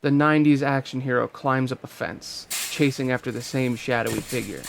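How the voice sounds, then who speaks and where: A man's voice: a little fast, vocal fry. A man reading out a screenplay as narrator, in a dramatic scripted style, at a table read. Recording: loud ambient rumble behind voice.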